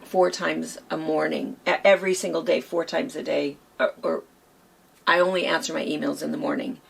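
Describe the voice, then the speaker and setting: A hoarse woman co-hosting a quilt shop chat, rambling casually with lots of fillers. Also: low voice, flat delivery, moderate pace. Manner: chatty, rambling with many fillers.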